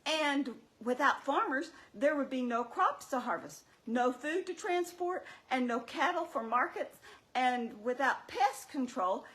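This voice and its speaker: Woman as sensible, teasing church-show puppet: high pitched, swooping intonation, explains and chides. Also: fairly bright tone, poor audio. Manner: explanatory, chiding, wry. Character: Mary, sensible, teasing, scolding female puppet.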